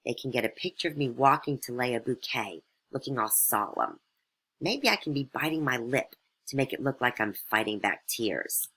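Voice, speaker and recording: A woman's voice: very deep voice, lively intonation, moderate pace, a bit bright, breathy edge, full character voice, rough recording. A woman voicing a vain, scheming, condescending duchess in a storybook tale. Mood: smug scheming. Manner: haughty, condescending, self-absorbed.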